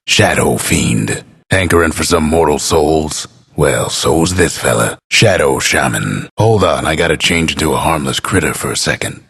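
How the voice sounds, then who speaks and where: An actor playing a wry, folksy, world-weary frontier narrator as a video game announcer, gravelly and drawling. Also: male voice, a bit bright, full character voice. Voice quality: deep, gravelly, husky. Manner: laconic, drawling, dryly amused.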